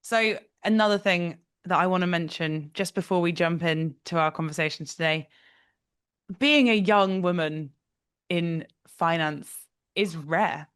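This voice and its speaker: A woman hosting a podcast interview, who affirms her guest and asks questions with quick laughs. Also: lowish voice, a bit bright, clean tone. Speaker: podcast host.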